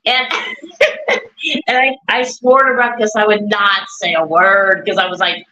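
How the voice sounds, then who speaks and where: A woman speaking loudly and at length on a live stream from an echoey room, repeating her points as she talks. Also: medium pitch, fairly even intonation, measured pace. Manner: loud, talkative, repeats herself. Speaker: guest, bereaved mother.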